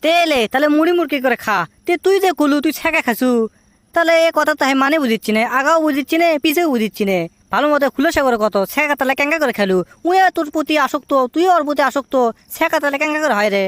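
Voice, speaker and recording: A man's voice: very high voice, racing, a bit bright, dry recording. A man voicing a cheeky, boastful young cartoon character with a Bogura Bangladeshi accent and a brash, teasing, rambling way of talking. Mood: exasperated teasing. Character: cheeky, boastful young man scolding his lovesick friend. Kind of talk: comic cartoon dialogue.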